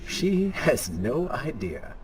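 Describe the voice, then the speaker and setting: A man playing a cynical, scornful dark alter ego in a video game, sly and condescending. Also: mid-range voice, sing-song, slow pace. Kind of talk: video game dramatic voice acting.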